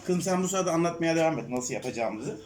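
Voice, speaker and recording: A man's voice: fairly high pitch, expressive, fast, a little mellow, faint music behind. A man at home, patiently coaxing his daughter through an experiment with leading questions. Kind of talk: casual home video chat. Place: home, indoors.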